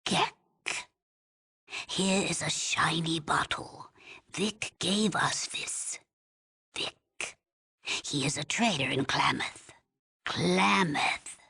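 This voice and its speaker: An aged female village elder from a video game, her voice very husky and thick with gravel. She speaks slowly and solemnly, with a formal, halting gravity that pleads for her people. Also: low voice, sharp tone, exaggerated voice. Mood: solemn, encouraging. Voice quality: husky, raspy, breathy. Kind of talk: video game character dialogue.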